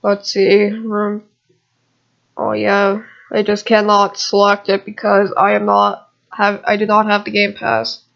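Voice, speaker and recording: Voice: medium pitch, completely flat delivery, measured pace, gravelly, fairly bright tone, lo-fi sound. A gamer giving casual, rambling gameplay commentary with muttered asides to viewers, recorded on a home computer microphone. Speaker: gamer narrating own gameplay video.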